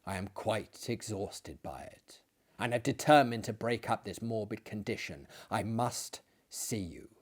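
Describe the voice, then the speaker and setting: A man reading as an anxious, weary artist, unhurried. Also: fairly low pitch, lively intonation. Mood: restless, anxious. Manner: earnest, grave, confiding. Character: anxious, gentle, weary male artist friend, earnest and restless.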